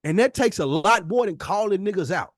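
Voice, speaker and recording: A man's voice: high-pitched, animated, fairly quick, no echo, studio quality. An American man co-hosting a podcast, arguing casually but emphatically in slangy speech, often in a lecturing way. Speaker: podcast co-host. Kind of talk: heated casual debate.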